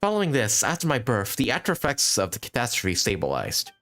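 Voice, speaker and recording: A man's voice: mid-range voice, wildly swooping pitch, a little fast, a bit bright. A man reading the part of a proud spirit heroine who recounts ancient lore in formal, lofty storytelling for a video game. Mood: lofty, solemn.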